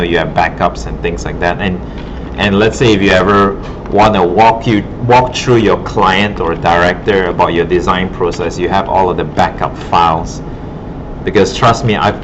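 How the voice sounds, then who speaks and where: A man working as an art instructor, giving a casual classroom demo and thinking aloud informally as he works. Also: sits low. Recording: muffled, noisy broken classroom audio.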